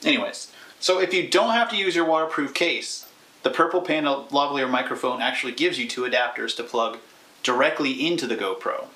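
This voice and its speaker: A man's voice: mid-range voice, a little fast, sharp tone. A man in his thirties explaining a how-to in an informal, stop-and-start way as he demonstrates. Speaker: YouTube tutorial presenter. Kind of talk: casual explanatory how-to talk. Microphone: clip-on lavalier or camera mic.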